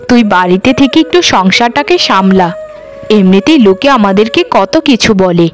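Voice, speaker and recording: A woman's voice: medium pitch, lightly acted. Woman playing a careworn, plaintive village mother. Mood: weary. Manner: plaintive, lamenting. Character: careworn elder village wife, worried struggling mother. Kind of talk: melodramatic cartoon dialogue.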